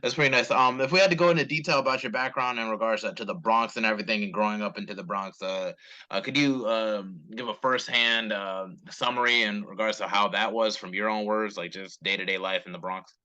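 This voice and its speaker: A man's voice: on the low side, fairly even intonation, pushed and bright, dull audio. A young man from Charlotte hosting a podcast, chatting enthusiastically with lots of fillers. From Charlotte, North Carolina. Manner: fast, filler-heavy, enthusiastic. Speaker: podcast host. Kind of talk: casual interview chat.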